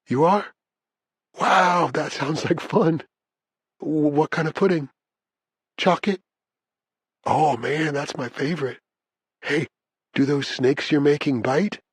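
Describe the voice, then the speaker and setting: A man's voice: fairly high pitch, slow, long pauses, husky, dry recording, clean studio sound, pitched above the performer's own voice. A man playing an anguished imprisoned father in an audiobook, speaking with raw feeling to his family. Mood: tender, pleading. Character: imprisoned father, anguished, bitter, tender with his small daughter.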